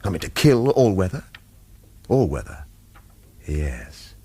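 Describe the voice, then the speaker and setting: A man's voice: deep voice, sing-song, takes it slowly, warm tone, breathy edge, full character voice. An actor playing a wry, wary ex-agent on the run who tells his own story in a terse, hard-boiled radio thriller style. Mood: brooding narration. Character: retired male agent turned wary hunted fugitive, wry. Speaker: lead character and first-person narrator. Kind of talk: radio thriller acting and narration.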